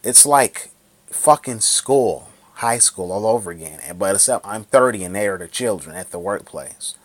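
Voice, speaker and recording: A man's voice: on the low side, lively intonation, normal speed, no room sound. A man in his thirties ranting angrily to the camera in a vlog, swearing a lot and repeating himself. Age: 30s. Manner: angry, profane, repetitive ranting. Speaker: vlogger.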